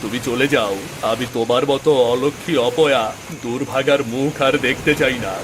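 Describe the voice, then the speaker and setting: An actor voicing a bitter, self-pitying village farmer in a moral-story cartoon, dramatic and complaining. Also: male voice, mid-range voice, fairly even intonation, normal speed, background noise, close to an everyday voice. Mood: angry, blaming.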